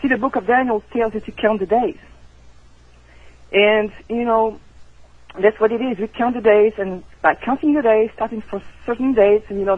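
A woman with a French accent, a native French speaker, calling in as a guest author on a radio interview and explaining things earnestly and excitedly. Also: mid-range voice, slightly rough. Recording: tinny phone line.

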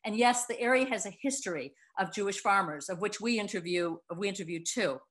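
A woman's voice: medium pitch, a little fast, fairly bright tone. Earnest older woman presenting a talk. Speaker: cookbook co-author. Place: wood-paneled room at home. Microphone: laptop video call.

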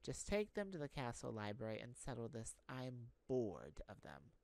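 A woman's voice: very deep voice, huge pitch swings, moderate pace, darkish tone, voice pushed down, exaggerated voice. A woman playing a curt, dismissive, impatient king in a fantasy audio roleplay. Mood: irritated, bored. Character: gruff, bored, impatient king on his throne. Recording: faint background noise.

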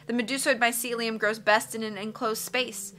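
Woman playing an earnest, clever, bright-voiced girl. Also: fairly high pitch, level tone, moderate pace, lightly acted. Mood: reasoning.

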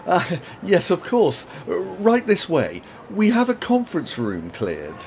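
A man plays a nervous political advisor whose voice swings wildly in pitch as he stammers and snaps back. A faint room ambience hangs behind him. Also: sing-song, measured pace, gravelly, slight background noise, exaggerated voice. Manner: jittery, stammering, sarcastic.

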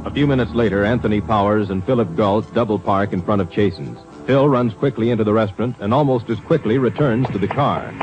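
A man's voice: fairly low pitch, a little fast, few pauses. Guy narrating a 1950s radio crime show, scripted and dramatic, music under him, dull recording. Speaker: announcer-narrator.

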